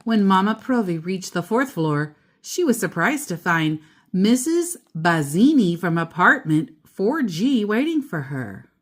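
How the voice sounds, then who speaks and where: A female storyteller reads a children's book aloud at a slow, deliberate pace. Her pitch is average for a woman, and she puts expressive stress on the important words.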